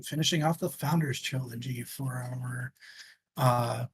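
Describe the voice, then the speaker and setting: A man's voice: medium pitch, steady tone, a little slow, noisy background. A man hosting a book discussion show in casual, informal, joking chat.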